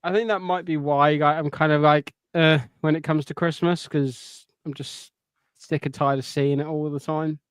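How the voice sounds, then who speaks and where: A man's voice: fairly high pitch, level tone, normal speed, clear, resonant tone, no echo. A man co-hosting a podcast, chatting casually in a rambling way. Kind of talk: casual chat.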